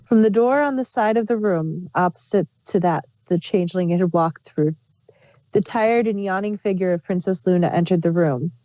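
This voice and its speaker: A woman's voice: fairly low pitch, moderate pace, mellow. A woman narrating fan fiction as an amateur story reader. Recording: muffled, roomy home recording.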